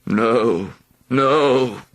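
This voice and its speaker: A man's voice: mid-range voice, very animated, unhurried, pushed and bright, slightly rough, exaggerated voice. A man voicing a vain, rude and cowardly huge male dragon in a storybook, blustering and melodramatic. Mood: feeble. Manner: blustering and melodramatic, wailing when wounded. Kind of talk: storybook reading.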